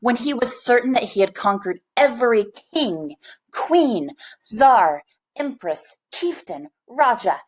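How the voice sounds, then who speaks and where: A woman reading a picture book aloud to children, with expressive, deliberate phrasing. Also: mid-range voice, poor audio. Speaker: storybook reader. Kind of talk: read-aloud storytelling for children.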